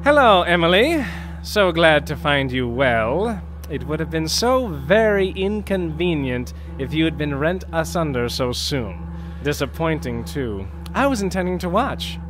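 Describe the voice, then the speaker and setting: A man playing an eccentric, vengeful undead mad scientist in an audio drama, with a wry, pompous, drawn-out delivery. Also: fairly high pitch, sing-song, background noise. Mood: gloating, vengeful.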